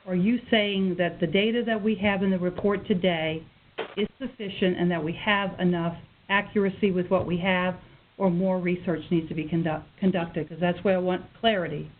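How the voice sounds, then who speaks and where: A woman's voice: lowish voice, steady tone, moderate pace. A woman acting as committee chair, formally running a meeting on a conference call. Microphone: telephone. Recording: tinny conference phone line with noise.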